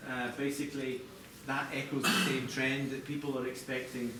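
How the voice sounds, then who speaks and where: A man presenting product design live to a group, explaining in a halting way with corporate phrasing. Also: medium pitch, little pitch movement. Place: large echoey indoor venue, apparently a hotel. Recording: echoey noisy room, distant mic.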